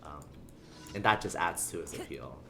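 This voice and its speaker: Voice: a bass voice, lively intonation, normal speed, gravelly, loud music behind the voice. A game streamer chatting casually and ramblingly to viewers while playing. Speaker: livestreamer. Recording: background noise under the voice. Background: video game sounds.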